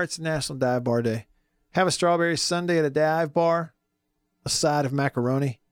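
A man hosting a sports radio talk show from a studio, hoarse today, chatty and jokey. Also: on the high side, no echo. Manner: chatty, jokey, lots of fillers.

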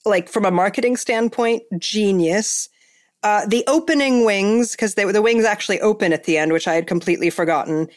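A woman's voice: mid-range voice, brisk. A woman hosting a podcast, chatting casually and enthusiastically, with lots of fillers and restarts. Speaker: podcast host. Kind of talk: casual chat.

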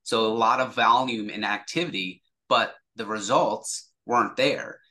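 A man's voice: fairly low pitch, measured pace, pushed and bright. A man speaking as an interview guest, a sales executive explaining things casually and acting out example dialogue.

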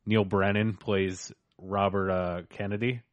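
A man's voice: sits low, level tone, a little slow, no room sound, muffled recording. A man co-hosting a podcast in casual, slangy banter with some swearing. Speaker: podcast co-host.

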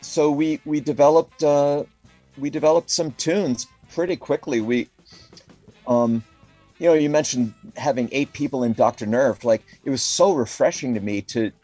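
A man's voice: mid-range voice, normal speed, dark tone, soft background music. A middle-aged or older man speaking casually and reflectively as an interview guest, rambling with enthusiasm and peppering his talk with "you know" and "like". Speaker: musician being interviewed. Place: calling in remotely to a podcast.

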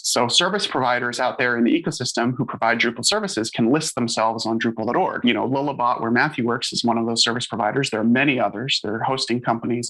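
A man's voice: mid-range voice, fairly bright tone, rough recording. A man on a conversational panel, explaining his association's work casually with frequent fillers. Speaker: open source association staff member.

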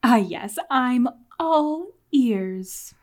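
A sly henchwoman with a very high, swooping voice. She fawns and insinuates, drawing out each phrase slowly in theatrical audio drama fashion. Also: expressive. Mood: coy. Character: sly, fawning female henchwoman, covetous and theatrical.

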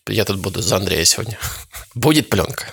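A man with a low, gravelly and husky voice, recorded dry and close. He co-hosts a podcast and chats in a playful, animated way. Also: a little fast, no echo. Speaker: podcast co-host. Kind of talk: casual podcast conversation.

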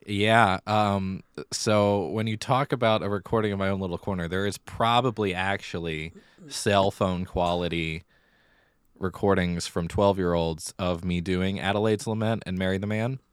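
A man's voice: deep voice, steady tone, moderate pace, clean tone, no echo. A man co-hosting a casual podcast, chatting and telling stories in a theatrical way. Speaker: podcast co-host; middle school theater director. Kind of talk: casual conversational podcast chat.

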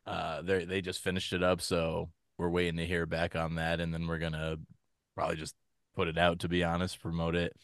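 A man in his late twenties, a musician guest, answering interview questions in a relaxed, slightly hesitant way. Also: deep voice, brisk, smooth, no echo, studio quality. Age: late 20s.

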